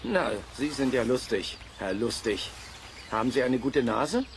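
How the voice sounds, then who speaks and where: An actor playing a stern, pompous aristocratic forest owner in a German children's audio drama, curt and condescending. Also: male voice, medium pitch, expressive, a little slow, creaky, a little hiss or hum. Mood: mocking.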